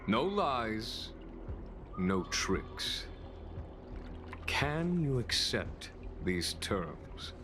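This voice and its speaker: A man playing a shrewd, courteous military general in a video game, speaking in a calm, formal, measured way. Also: fairly low pitch, over music, heavily acted.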